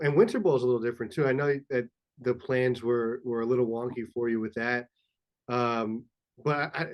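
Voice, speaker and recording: A man hosting a sports podcast, asking curious questions with enthusiastic interest. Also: mid-range voice. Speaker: podcast host. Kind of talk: casual podcast chat.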